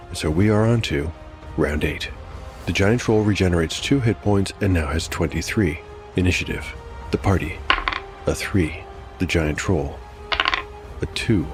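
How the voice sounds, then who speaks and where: Man, very low pitch, tabletop game narrator, dramatic storytelling, wry dice commentary, clear music bed behind. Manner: wry asides and mild exclamations between narration. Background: background music.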